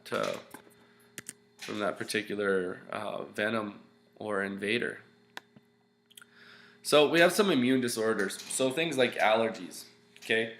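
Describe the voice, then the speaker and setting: A man's voice: on the low side, fairly bright tone. A young man with a congested, nasal voice, giving a recorded slideshow lecture as a teacher and talking loosely with lots of fillers and "okay"s. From Canada. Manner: loose, explaining with many fillers and okays. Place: small room at home, indoors. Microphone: webcam. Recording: echoey room with faint hum.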